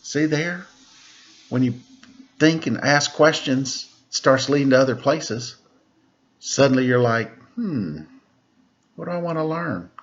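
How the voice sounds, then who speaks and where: A man teaching children, reading a rhyming picture book aloud with a steady rhythm, then chatting casually about it. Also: mid-range voice, slow, long pauses, muffled recording. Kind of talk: storybook read-aloud for children.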